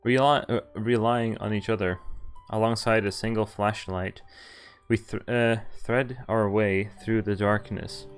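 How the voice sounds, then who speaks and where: A man's voice: fairly low pitch, steady tone, a little slow, clear, resonant tone. A man narrating visual novel text aloud as a game streamer, occasionally stumbling over words as he reads. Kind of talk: read-aloud story narration. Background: game music.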